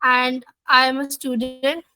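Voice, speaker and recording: A woman's voice: sits high, completely flat delivery, a little slow, pushed and bright. A young woman with a Pakistani accent giving a short self-introduction as a student in a class. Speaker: webinar participant, student.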